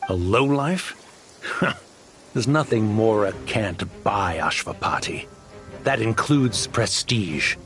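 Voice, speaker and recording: An actor playing a greedy smuggler posing as a philanthropist, boastful and wheedling, in a game cutscene over faint music. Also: male voice, lowish voice, sing-song, slow, a bit bright, heavily acted. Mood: smug.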